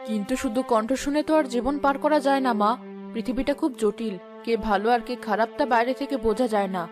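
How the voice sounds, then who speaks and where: Woman as a worried cartoon mother, lower-voiced. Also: fast talker, over music, close to an everyday voice. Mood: cautious. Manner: concerned, advising. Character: worried, cautious middle-aged mother of the princess. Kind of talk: animated fairy-tale dialogue.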